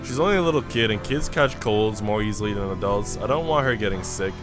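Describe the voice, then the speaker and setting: A man voicing a kindly, wry young man in a visual novel, reading in measured, gently chiding prose. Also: on the low side, lively intonation, pitched below the performer's own voice, natural voice. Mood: reflective. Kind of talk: visual novel narration and dialogue read aloud. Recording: background music.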